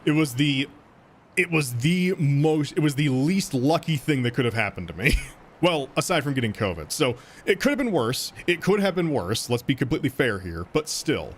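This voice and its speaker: A man's voice: medium pitch, sing-song, normal speed, slight background noise. Rambling easygoing male streamer. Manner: rambling, restarts and fillers, laughs often. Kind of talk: casual gaming stream chat, reading donations.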